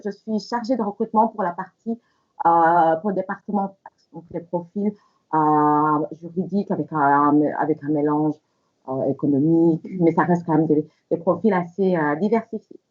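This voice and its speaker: A woman's voice: lowish voice, fairly even intonation, normal speed. A woman recruiter giving a semi-formal panel presentation, polite, with hesitant phrasing and repeated words. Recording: slightly dull, lo-fi audio.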